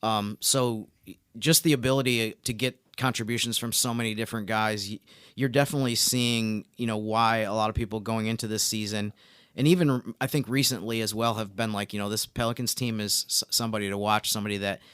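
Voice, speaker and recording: Man, sports analyst co-host, smooth clear voice, mid pitch, explanatory conversational delivery, dry sound. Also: fairly even intonation, brisk, a bit bright, no room sound.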